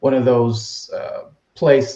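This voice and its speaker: A man's voice: mid-range voice, little pitch movement, slow pace, mellow. A man as a podcast guest, telling his story in an easygoing way. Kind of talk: casual interview answers. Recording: echoey room, dull lo-fi sound.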